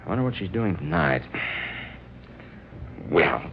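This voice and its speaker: A man in old-time radio drama style playing an eager, persistent young inventor, earnest and halting, on a dull, hissy recording. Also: sits low, very animated, heavily acted. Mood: nervous, smitten.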